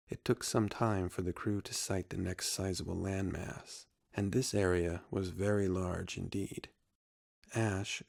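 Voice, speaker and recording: A man narrating a scripted sci-fi story in a soft, unhurried, solemn manner. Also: low-pitched, gravelly, dry recording, studio quality.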